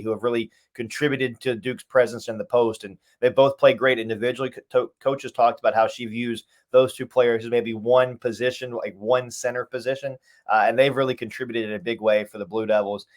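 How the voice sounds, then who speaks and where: Fast-talking man giving sports analysis. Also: medium pitch, fairly even intonation. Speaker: radio play-by-play announcer interviewed as guest.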